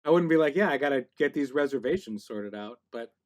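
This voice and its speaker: A man's voice: mid-range voice, expressive, brisk. A man hosting a casual podcast interview, conversational and wry, with dry humour.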